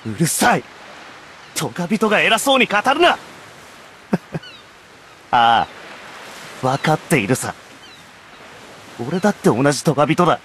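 Slow, bitter young fallen knight. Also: male voice, fairly high pitch, very animated, fairly bright tone, a little hiss or hum. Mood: self-loathing. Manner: grave, bitter, dramatic. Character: proud, stern, despairing young fallen knight. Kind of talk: Japanese anime game voice acting.

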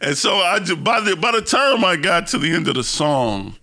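A man's voice: sits high, big pitch swings, normal speed, bright, forceful tone. A man hosting an interview in a casual, candid, slangy way, poking fun at himself and often repeating phrases as he searches for words. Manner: candid, slangy, self-deprecating. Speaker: podcast host interviewing a guest. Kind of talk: casual, personal interview chat.

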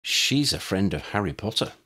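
A man's voice: on the low side, normal speed, husky, full character voice. A man voicing a weary, exasperated teenage schoolgirl prefect in an audiobook, with a resigned manner. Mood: matter-of-fact. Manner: flat, resigned.

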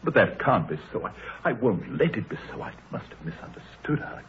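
An actor playing a troubled, anxious country gentleman, grave, hesitant and formal, in a 1930s radio drama. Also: medium pitch, sing-song, fairly quick, gravelly. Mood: anguished. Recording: muffled, noisy 1930s radio recording.

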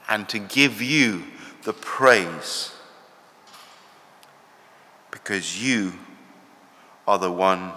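A middle-aged man with an English accent leading a slow, earnest spoken prayer from a church lectern. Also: on the low side, bright, forceful tone. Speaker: church leader. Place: church sanctuary. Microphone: lectern microphone. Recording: church hall reverb.

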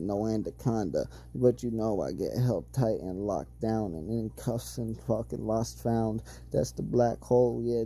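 A man's voice: mid-range voice, dark tone, breathy edge. A man rapping a loose, rambling a cappella freestyle, heavy on profanity. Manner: rambling, heavily profane flow. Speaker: amateur freestyle rapper.

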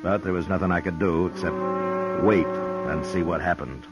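A man playing a steady, weary frontier marshal in a 1950s radio drama, calm and dry. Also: sits low, moderate pace, natural voice. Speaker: narrator and marshal. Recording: 1950s radio broadcast with music.

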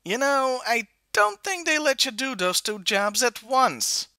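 Male review host: wry, opinionated, scripted narration, bright tone with lots of pitch movement. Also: very animated, measured pace, smooth, no echo, clean studio sound.

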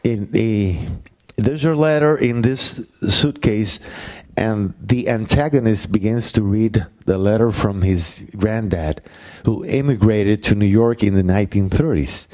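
Slow Puerto Rican man, low voice. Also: a little mellow. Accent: Puerto Rican Spanish. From Puerto Rico. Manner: halting, many fillers and restarts. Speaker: playwright and actor answering audience questions. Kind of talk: Q&A talk after a play. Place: university auditorium stage. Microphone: handheld mic.

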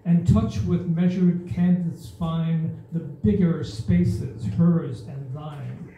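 An older woman reading a rhyming poem aloud at an open mic, in a slow, measured recital. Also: low-pitched, fairly even intonation, warm tone. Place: small stage in an indoor venue. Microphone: stand mic, filmed from a far camera. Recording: echoey room from far off.

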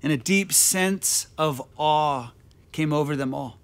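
A man in his thirties delivers a sermon with slow, deliberate pacing and a slightly raised pitch. He speaks earnestly, circling back on phrases as he presses his point. He stands on a dark indoor stage, talking to a camera at mid distance. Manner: earnest, repeats phrases, gestures while preaching. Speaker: pastor.

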